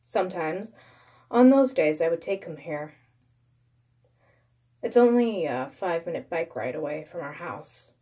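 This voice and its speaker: A woman's voice: lowish voice, expressive, a little slow, pauses often, mellow, husky. A woman narrating a first-person creepypasta story as its storyteller.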